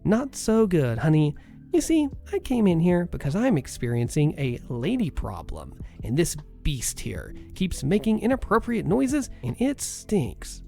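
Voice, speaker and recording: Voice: huge pitch swings, measured pace, vocal fry, dark tone, soft background music, full character voice. A storyteller voicing a rude, entitled middle-aged woman patient who screeches and sneers. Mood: indignant. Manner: shrill, screeching and scornful.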